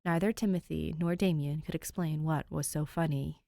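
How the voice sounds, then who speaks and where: Expressive woman reading stories, close dry sound. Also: low-pitched, normal speed, creaky, no room sound. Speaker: fan fiction podfic reader. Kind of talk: story narration and casual chat to listeners.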